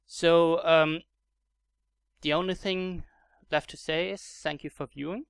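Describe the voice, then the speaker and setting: A man's voice: fairly high pitch, steady tone, measured pace, creaky, smooth, no echo. A man with a German accent narrating a casual game tutorial, hesitant with many fillers and restarts. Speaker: Minecraft mod video creator.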